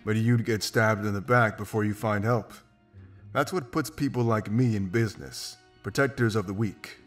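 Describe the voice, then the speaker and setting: Guy doing a deep blunt wolf mercenary from a video game, sure of himself, music underneath. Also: moderate pace, close to an everyday voice. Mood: matter-of-fact. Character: confident gruff male wolf mercenary, pragmatic. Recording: music behind.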